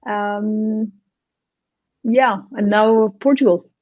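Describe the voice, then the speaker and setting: A woman's voice: mid-range voice, fairly even intonation, extremely slow, no echo, lo-fi sound. A woman from Belgium, a startup founder, talking as a podcast guest, thoughtful and hesitant, with many fillers. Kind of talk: casual interview chat.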